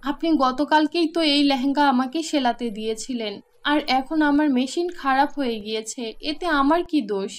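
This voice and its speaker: A woman's voice: high voice, normal speed, lightly acted. A woman voicing a kind, patient young seamstress, polite and earnest, in a children's cartoon. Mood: apologetic.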